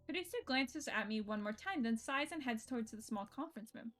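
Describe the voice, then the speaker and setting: Girl streamer w a highish voice reading a visual novel out loud, swears a bit, game music behind her. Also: a little fast. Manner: expressive, self-interrupting, swears freely. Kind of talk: reading game narration aloud with casual reactions.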